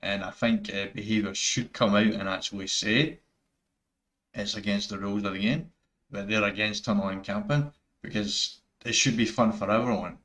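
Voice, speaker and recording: A man's voice: lowish voice, fairly even intonation, normal speed, a bit bright. A man with a thick Scottish accent, giving rambling, earnest opinions over gameplay footage, full of fillers and restarts. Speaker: gaming YouTuber.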